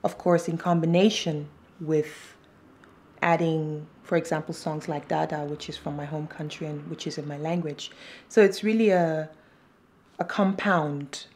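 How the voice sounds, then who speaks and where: Soft-spoken woman with a mellow voice. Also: low-pitched, steady tone, measured pace, a little hiss or hum. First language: Yoruba. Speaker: singer-songwriter. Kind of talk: interview talk.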